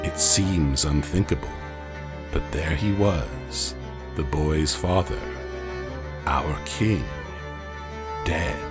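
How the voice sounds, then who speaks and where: A man's voice: extremely low pitch, loud music behind the voice, exaggerated voice. A man voicing a solemn angel who recounts an ancient tragedy in a grave, theatrical storytelling manner for an audio comic. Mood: sorrowful, solemn. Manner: grave, portentous, theatrical, dramatic pauses. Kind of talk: dramatic scripted storytelling, audio comic book.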